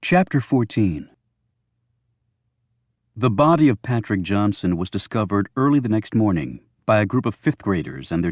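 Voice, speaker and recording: A man's voice: on the low side, darkish tone, dry recording, clean studio sound. A man reading a thriller audiobook as scripted narration.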